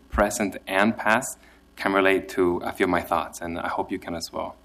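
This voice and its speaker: Young man, from Chile, very low voice, nervous, carefully read testimony. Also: fairly bright tone. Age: young adult. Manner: nervous, careful, reads from a prepared statement. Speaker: immigrant program participant giving testimony. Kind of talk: reading prepared testimony.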